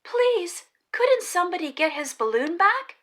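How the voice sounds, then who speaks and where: A woman's voice: very high-pitched, very animated, unhurried, a bit bright, voice pushed up, exaggerated voice. A woman voicing a protective, pleading mother in a children's storybook, earnest and imploring.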